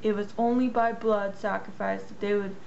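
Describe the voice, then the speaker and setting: A woman's voice: medium pitch, fairly even intonation, moderate pace, creaky, a little mellow, echoey room, dull audio, slight background noise, lightly acted. A woman playing an instructive farmer puppet in a scripted educational show. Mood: explanatory. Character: explanatory farmer puppet, addressed as Mister.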